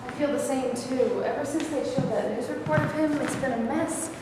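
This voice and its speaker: A woman plays a worried, doting middle-aged mother in a stage play. Her voice is high and fretful, heard in a very echoey hall with clear noise. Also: normal speed, natural voice. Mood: anxious.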